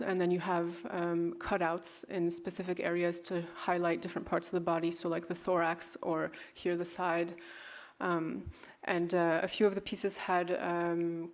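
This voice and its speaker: Low, flat-voiced woman giving a hesitant talk. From grew up in California. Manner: unhurried, hesitant with many ums and restarts. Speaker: artist and fashion designer presenting her work. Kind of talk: festival stage talk.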